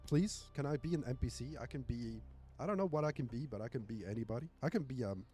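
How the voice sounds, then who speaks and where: Male game streamer, soft dark timbre, casual reactions and chat, light music underneath. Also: mid-range voice, animated, fast talker. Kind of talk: casual livestream commentary.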